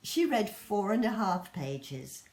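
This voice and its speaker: A woman's voice: mid-range voice, big pitch swings, slow, a little mellow. A woman reading a children's story expressively as a storyteller. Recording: echoey room.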